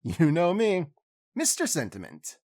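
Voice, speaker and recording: An actor playing a proud alien hero with an expressive, declamatory way of talking. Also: male voice, a little high, measured pace. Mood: relaxed. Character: proud alien hero giving a public speech.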